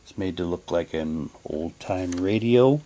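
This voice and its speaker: A man doing a casual unboxing, talking in a halting way and reading packaging text aloud like a hammy radio announcer. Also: low-pitched, dark tone. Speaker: vlogger unboxing a collectible audio drama CD.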